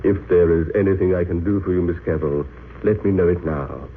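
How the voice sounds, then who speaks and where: An actor playing a grave, compassionate chaplain in an old radio drama, speaking in a measured, formal way. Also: male voice, deep voice, natural voice. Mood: gentle, earnest. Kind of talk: 1930s-40s radio drama acting. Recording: tinny, noisy vintage radio recording.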